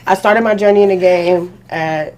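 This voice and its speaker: A woman's voice: lowish voice, fairly even intonation, normal speed, a crowd in the background. A woman in her forties, a podcast guest telling her story in candid, emphatic casual talk full of slang. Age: 40s. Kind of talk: casual chat. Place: podcast studio.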